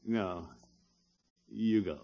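An actor playing a blustery, soft-hearted middle-aged grandfather in a 1950s radio comedy, theatrical and swinging from booming exclamations to low murmurs. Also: extremely slow, creaky, heavily acted. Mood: tender, hushed. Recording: muffled vintage radio recording.

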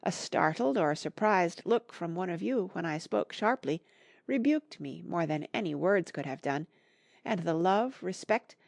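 A woman voicing a gentle, patient middle-aged mother in a classic novel, giving soft, measured counsel. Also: lowish voice, creaky, no room sound, studio quality. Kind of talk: acted audiobook dialogue from a classic novel.

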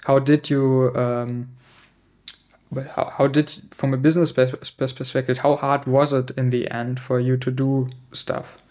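A man's voice: mid-range voice, little pitch movement, unhurried, a little mellow. A man from Germany hosting a podcast, asking long searching questions with fillers. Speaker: podcast host. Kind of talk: casual interview chat.

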